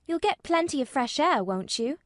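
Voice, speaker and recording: A woman's voice: sits high, animated, moderate pace, no echo, studio quality, voice pushed up. A woman voicing a plucky, obstinate little girl in an audiobook. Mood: encouraging. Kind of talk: audiobook character voice.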